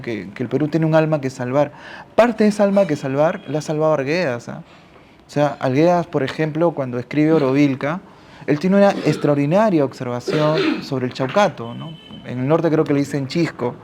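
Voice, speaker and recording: A man's voice: fairly high pitch, darkish tone, slight background noise. A man from Peru with a Peruvian accent, speaking as a panelist at a literary talk and thinking aloud, often ending phrases with a questioning tag. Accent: Peruvian Spanish. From Ica, Peru. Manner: thinks aloud, adds frequent questioning tags.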